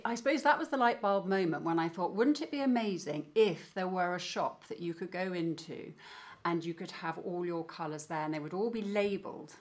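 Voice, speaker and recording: A woman's voice: fairly low pitch, brisk. A middle-aged woman telling her story as an interview guest, enthusiastic. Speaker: guest, company founder. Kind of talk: interview answers.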